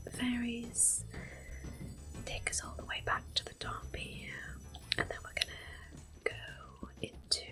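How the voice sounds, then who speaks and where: A woman softly whispering gentle, unhurried ASMR commentary as they play a video game, explaining each step in a breathy voice. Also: a little high. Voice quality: breathy whispering, soft-spoken. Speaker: gaming ASMR video host. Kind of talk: soft-spoken ASMR gameplay commentary.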